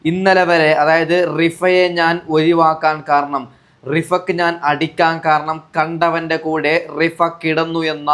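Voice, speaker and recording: A man giving indignant, accusatory commentary to viewers, repeating himself and throwing out rhetorical questions. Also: on the high side. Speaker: vlogger commenting on social media disputes.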